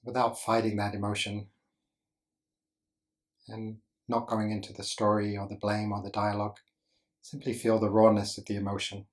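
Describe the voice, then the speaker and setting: Low, even-toned male meditation guide. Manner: gentle instructional delivery with deliberate pauses. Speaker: meditation teacher.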